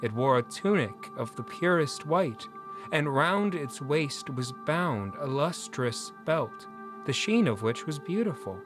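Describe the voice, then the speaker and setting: A man narrating a scripted dramatic story in an expressive, deliberate way. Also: fairly high pitch, music in the background.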